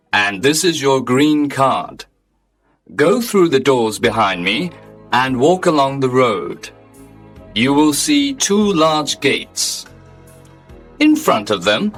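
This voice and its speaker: A polite, unflappable clerk at heaven's desk, read by a man. He speaks slowly and formally, his pitch rising and falling with quiet authority, over soft background music. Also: heavily acted. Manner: slow, measured, formal and unbothered. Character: calm polite male heavenly receptionist clerk. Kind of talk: audiobook dialogue reading.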